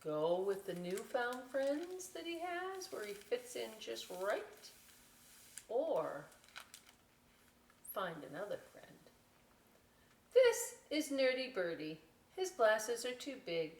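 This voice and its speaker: A woman reading a picture book aloud as a story time host, expressive, with chatty questioning asides to young listeners. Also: sits high, far from the mic. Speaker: library story time reader. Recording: echoey room, away from the mic.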